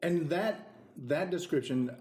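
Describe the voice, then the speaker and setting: A man's voice: on the high side, slow, darkish tone. A man co-hosting a podcast, speaking casually in a hesitant, rambling way. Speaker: podcast co-host. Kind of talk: casual discussion. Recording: echoey room.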